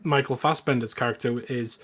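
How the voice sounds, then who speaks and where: Male podcast co-host, average pitch, informal and opinionated, conversational film-review delivery. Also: steady tone, fairly quick, a bit bright. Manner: opinionated, sweary. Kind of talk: casual film discussion and recap.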